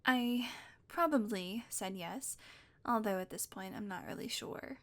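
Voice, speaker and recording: A woman's voice: mid-range voice, a little slow, natural voice. A young woman voicing an obsessive, possessive witch in an audio roleplay, talking sweetly and coaxingly and often dropping to a whisper. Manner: sweet, coaxing, softly controlling, slips into whispers.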